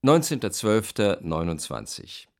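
An actor playing a formal, courteous publisher, reading business letters emphatically in a radio drama. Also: male voice, on the low side, wildly swooping pitch, unhurried, vocal fry, a little mellow, heavily acted. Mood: businesslike.

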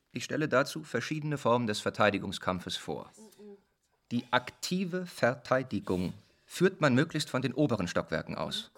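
A militant captain of squatters in a radio play. He lays out instructions formally and methodically, like an officer briefing defenders. His pitch is middling for a man. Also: fairly bright tone. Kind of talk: instructional speech in radio drama.

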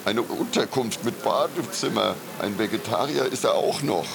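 Gossipy postman, radio play, gravelly edge, very animated melody, crowd bustle behind. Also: fairly low pitch, moderate pace. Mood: cheerful coaxing. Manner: sing-song greetings, gossipy. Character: chatty, gossiping male postman on his morning round.

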